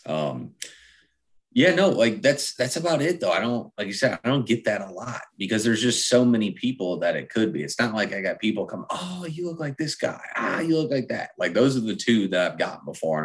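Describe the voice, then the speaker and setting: A man's voice: on the low side, sing-song, fairly quick. A man from the Midwest in casual chat, modest and hesitant, trailing off as he talks.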